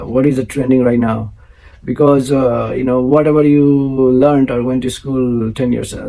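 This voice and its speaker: A man, a Maithili speaker, introduces his show in a rough, husky voice. His tone is dark and mellow, and his speech halts on frequent fillers and restarts. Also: mid-range voice, low-quality recording. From South Asia. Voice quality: gravelly, husky. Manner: halting, many fillers and restarts. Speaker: host.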